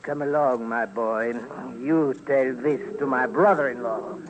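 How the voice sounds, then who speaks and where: An actor playing a patient, wry Frenchman in a radio drama, deliberate and indulgent in manner. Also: medium pitch, noisy background, natural voice. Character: patient, wry French brother-in-law climbing mountains.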